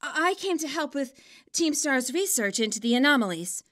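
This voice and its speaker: Lady doing a shy little story girl, quiet and hesitant, highish bright voice. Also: animated, normal speed. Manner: hesitant, muttering.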